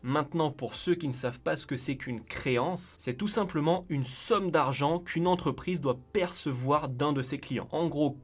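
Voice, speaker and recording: A man hosting a finance channel, narrating a casual explainer with chatty, joking asides. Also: on the high side. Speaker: finance YouTuber.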